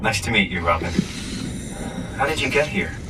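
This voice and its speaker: A man's voice: low-pitched, lively intonation, normal speed, noisy background. A man playing a bewildered, hesitant young man in a sci-fi video game, asking questions. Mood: careful. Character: bewildered ordinary young man in a synthetic body. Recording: loud machinery and ambience behind voice.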